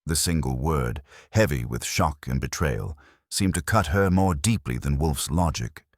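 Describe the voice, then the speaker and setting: A man's voice: rumbling low, a little slow, no echo, clean studio sound. A man narrating a detective story as an audiobook, in a dramatic scripted style.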